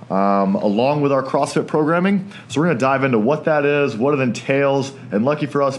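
Upbeat man hosting a fitness podcast. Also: mid-range voice. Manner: upbeat, friendly, laughs easily. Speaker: podcast host. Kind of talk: casual podcast chat.

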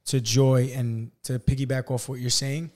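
An earnest man hosting a podcast, talking in an easy, heartfelt way. His voice is a little hoarse and sits in a middle register. He quickens when he gets caught up in a story. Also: medium pitch, little pitch movement, darkish tone. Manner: earnest, speeds up when telling stories. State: slightly hoarse. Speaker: podcast host. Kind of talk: casual podcast chat.

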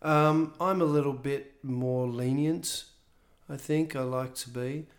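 Measured man, voice coach guest. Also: medium pitch, steady tone. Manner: thoughtful, measured, with fillers. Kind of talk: casual podcast conversation.

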